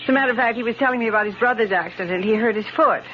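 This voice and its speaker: A woman's voice: a little high, racing, lightly acted. An actress playing a bitter, guarded wealthy woman with a proud, clipped manner in a radio drama. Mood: guarded politeness. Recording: muffled radio drama recording with loud noise.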